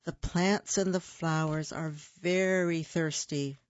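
A woman reading the lines of a patient, know-it-all big sister in a children's storybook, gently explaining things. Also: low-pitched, steady tone, slow pace, dry recording, lo-fi sound.

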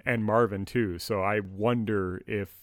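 A man's voice: fairly low pitch, fairly even intonation, unhurried, no room sound, clean studio sound. A middle-aged man co-hosting a podcast, chatting casually in a discussion. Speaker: podcast co-host. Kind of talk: casual discussion of a radio drama.

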